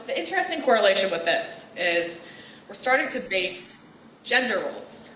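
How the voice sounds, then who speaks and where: A young woman delivers a personal talk with a bright, forceful voice, direct and outgoing. She is picked up from some distance in an echoey room. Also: mid-range voice, slight background noise. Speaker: speaker giving a talk. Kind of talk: personal speech on gender roles.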